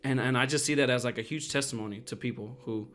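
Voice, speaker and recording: A man's voice: medium pitch, quick pace, a bit bright, soft background music. A man in his twenties co-hosting a podcast, talking casually and earnestly and often repeating his phrases. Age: 20s. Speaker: podcast co-host. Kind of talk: casual personal chat.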